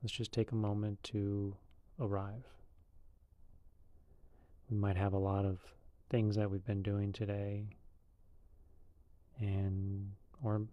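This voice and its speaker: A man leading a guided meditation in a calm, unhurried, loosely conversational way. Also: lowish voice, no room sound, lo-fi sound.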